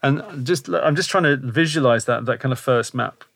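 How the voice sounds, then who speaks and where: Male podcast host, mid-pitch, loose rambling talk, dry close mic. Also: moderate pace, gravelly, a bit bright, no room sound. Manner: rambling, thinks aloud with many "like" and "kind of". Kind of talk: casual podcast conversation.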